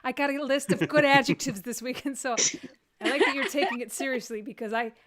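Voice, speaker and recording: High-voiced Texan woman hosting with jokes. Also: a loud crowd. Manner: joking, rambling, quick asides. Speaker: comedian hosting a live stream. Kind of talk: casual comedic chat.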